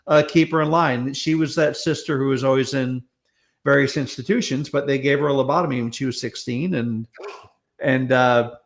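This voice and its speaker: A man's voice: fairly high pitch, creaky. A man chatting casually as a panelist on a live show, in a rambling, wry manner. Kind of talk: casual chat.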